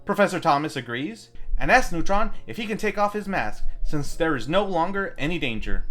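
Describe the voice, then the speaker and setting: A man's voice: a little high, animated, normal speed, fairly bright tone, faint music behind. A man hosting a film review, reading scripted narration with playful, wry asides. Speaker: host of a film review show.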